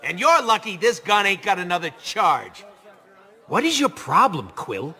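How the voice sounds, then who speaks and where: Brash game raccoon, gruff and sarcastic, high and animated. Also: male voice, measured pace, full character voice. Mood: resentful. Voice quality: gruff, snarky. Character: brash cocky male raccoon mechanic. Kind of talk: video game acting.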